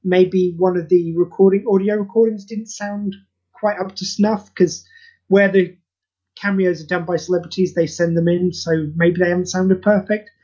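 A man with a notably high voice and a husky edge talks casually as an interview guest. He is earnest and rambles informally. The sound is dull and lo-fi. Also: little pitch movement, normal speed, darkish tone. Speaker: interview guest, creator of charity audio plays. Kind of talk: casual podcast interview chat.

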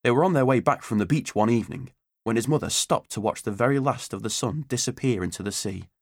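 A man's voice: mid-range voice, fast talker, vocal fry, breathy edge, no echo, studio quality. A man reading a story aloud as an audiobook narrator, in a scripted storytelling style.

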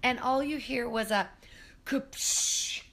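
Hoarse middle-aged woman rambling through a spooky story at home, recorded on a phone. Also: fairly high pitch, takes it slowly, fairly bright tone. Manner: rambling, with many "um"s and self-corrections. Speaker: guest storyteller. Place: apartment kitchen at home.

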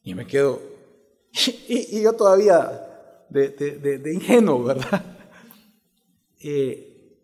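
A Salvadoran pastor with a high-pitched voice delivers a sermon, moving from a personal story to emphatic preaching. He keeps asking his congregation questions and answering them himself. His voice rings out through a reverberant church hall. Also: sing-song, slow pace, long pauses, a little mellow. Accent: Salvadoran Spanish.

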